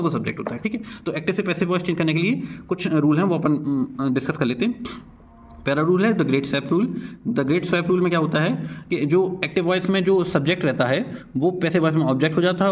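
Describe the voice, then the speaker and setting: A man teaching an informal grammar lesson, mixing in English terms and often adding short check-in tags after his points. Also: a little high.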